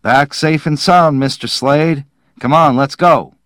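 A man's voice: medium pitch, animated, a little slow, clear, resonant tone, dry recording, studio quality, pitched above the performer's own voice, close to an everyday voice. Cheerful male operator, voiced by a male narrator: breezy, easygoing, audiobook storytelling.

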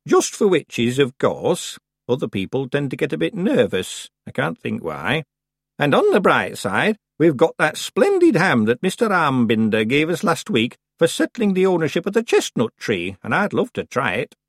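A man voicing a very old, calm and stern witch woman with a dry, matter-of-fact manner. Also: fairly high pitch, moderate pace, breathy edge, no room sound, pitched above the performer's own voice, full character voice.

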